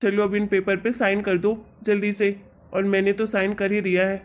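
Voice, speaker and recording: Exasperated cartoon husband acted by a woman, chiding and colloquial, mid-pitched, lo-fi audio. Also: little pitch movement, normal speed, lightly acted. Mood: teasing, needling. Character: exasperated young husband, patient and teasingly lecturing. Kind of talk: amateur Hindi cartoon story dialogue. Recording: dull, lo-fi audio.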